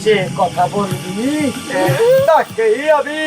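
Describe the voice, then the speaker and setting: A woman's voice: mid-range voice, lively intonation. A woman voicing a menacing haunted car ghost in a children's comic film, barking commands and threats. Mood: menacing, commanding. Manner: commanding, shouting threats in slow bursts. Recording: loud music and crowd noise behind.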